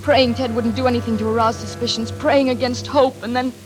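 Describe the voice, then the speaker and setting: A woman playing a frightened, distraught young daughter in a 1940s radio thriller, with breathy, dramatic delivery. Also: fairly high pitch, fairly even intonation, fairly quick, lightly acted. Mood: haunted narration. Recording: vintage radio recording over rain and music.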